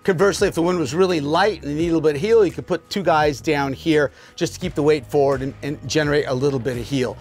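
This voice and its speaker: A man's voice: on the high side, quick pace, hardly any pauses, soft background music. A middle-aged man giving a casual, enthusiastic walkthrough tour of a sailboat in a marina. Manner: enthusiastic, talks while pointing and moving. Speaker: boat tour host. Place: aboard a sailboat in a marina, on deck and below.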